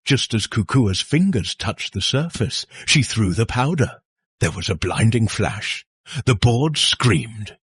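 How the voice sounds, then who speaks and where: A man tells a dark folktale with theatrical suspense. His voice is very husky and rough, his pitch moves with great animation, and he takes his time, leaving dramatic pauses between phrases. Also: expressive, no echo, studio quality. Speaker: storyteller. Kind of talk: dramatic scripted horror folktale narration.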